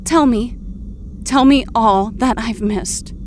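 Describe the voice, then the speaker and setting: Casting: vulnerable, earnest superheroine, higher-pitched, halting delivery, some noise behind her. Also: a little mellow. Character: earnest, confused heroine returned from death. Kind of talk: audio drama acting.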